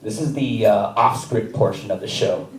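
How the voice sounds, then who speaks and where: A man performing a stand-up comedy set, with a wry, deadpan delivery and chuckles between jokes. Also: on the low side, moderate pace, far from the mic. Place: comedy theater stage. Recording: roomy live stage mic from afar.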